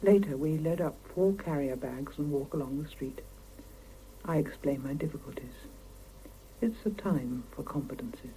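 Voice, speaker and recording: A woman playing a weary working mother, narrating her life in an intimate, hushed voice in a 1970s radio drama. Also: low voice, moderate pace, close to an everyday voice. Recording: faintly noisy old mono cassette radio recording.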